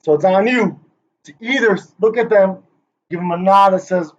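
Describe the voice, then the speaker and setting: A man's voice: sits high, normal speed. A man rehearsing a playful conference presentation, joking, hyping up and teasing the people he names. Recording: dull lo-fi recording.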